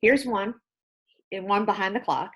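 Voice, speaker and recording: A woman's voice: medium pitch, moderate pace, a bit bright, voice pushed up, natural voice. A woman voicing a cheerful, literal-minded housemaid in a children's storybook, earnest and folksy. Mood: proud, earnest. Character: cheerful, literal-minded housemaid, puzzled but eager to please. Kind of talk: children's storybook read-aloud.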